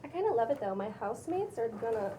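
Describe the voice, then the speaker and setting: A breezy, self-assured young female influencer, acted by a woman at a table read in a fairly high voice. She talks casually with a preachy streak, over faint background noise. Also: very animated, fast talker, mellow. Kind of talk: cold table read of a pilot script.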